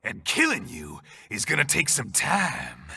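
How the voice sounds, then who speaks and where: An actor playing a sadistic, warmongering cyborg mercenary in a game cutscene, gravelly, taunting and theatrical. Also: male voice, medium pitch, huge pitch swings, a little slow, heavily acted. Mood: sadistic glee. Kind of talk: video game cutscene acting. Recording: long hollow reverb.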